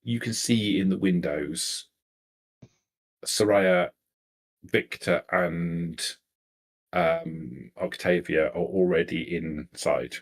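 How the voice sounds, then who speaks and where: A man narrating a tabletop roleplaying game as game master, halting as he searches for words. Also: low voice.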